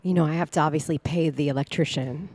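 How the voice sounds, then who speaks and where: A woman's voice: deep voice, fast talker, dark tone, dry recording. A woman playing a coy, seductive mother on a date in improvised comedy, suggestive and affected. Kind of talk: improvised comedy parody of radio play.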